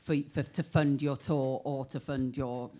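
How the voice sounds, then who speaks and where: A woman from Wales, a singer in a band, chatting on a panel and telling stories in an animated way, often laughing and restarting her phrases. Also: rumbling low, a little slow. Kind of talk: casual panel chat.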